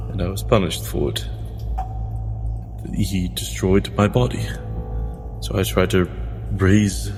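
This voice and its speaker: Man playing a halting, guilt-ridden necromancer, cavernous reverb. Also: deep voice. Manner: halting, apologetic, breaks off mid-phrase. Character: guilt-ridden male necromancer, apologetic and faltering. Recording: long reverb, clear music behind.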